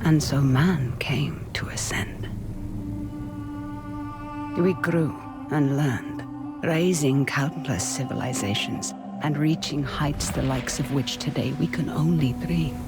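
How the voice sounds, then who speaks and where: An actress playing a solemn narrator of an ancient legend in a video game, measured and hushed with breathy, whispery phrases. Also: female voice, deep voice. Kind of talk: scripted cinematic game narration. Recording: music loud behind the voice. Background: loud cinematic music.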